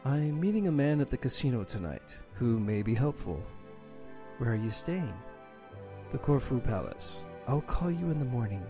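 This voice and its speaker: A man's voice: medium pitch, very animated, moderate pace, over music, lightly acted. A man playing a suave, determined British secret agent in an amateur audio drama. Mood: calm, concerned.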